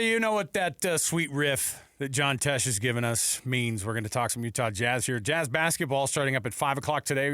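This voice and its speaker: A man's voice: mid-range voice, fairly bright tone, dry recording, studio quality. An American man hosting a sports talk radio show in a casual, conversational way.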